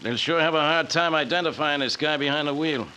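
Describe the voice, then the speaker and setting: A brisk cop at a crash site. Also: male voice, fairly high pitch, bright, forceful tone, a little hiss or hum, rough recording. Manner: brisk, matter-of-fact. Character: matter-of-fact male police officer at a crash. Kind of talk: 1940s radio acting.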